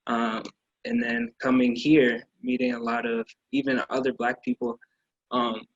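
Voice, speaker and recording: A man's voice: medium pitch, little pitch movement, measured pace, slightly rough, no echo, lo-fi sound. A young man from Minnesota, a student athlete, answering earnestly and reflectively in an interview. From Minnesota, USA. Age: young adult. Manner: earnest, reflective, speaks in building lists.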